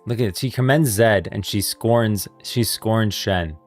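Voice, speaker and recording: A man doing casual live commentary on game lore as a streamer, halting and repeating himself as he thinks aloud. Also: fairly low pitch, soft background music.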